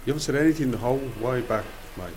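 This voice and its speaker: Man playing a sceptical, matey pal, animated pitch. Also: very fast, slight background noise, lightly acted, low-quality recording. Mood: teasing, matey. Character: teasing, sceptical best mate in a small flat. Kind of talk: amateur student radio play acting.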